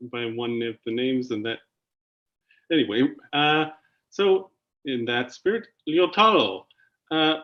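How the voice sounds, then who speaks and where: A man hosting an online panel, talking haltingly with many fillers and restarts. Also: a little high, a bit bright. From Canada. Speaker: panel host. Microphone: video call. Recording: muffled video call audio.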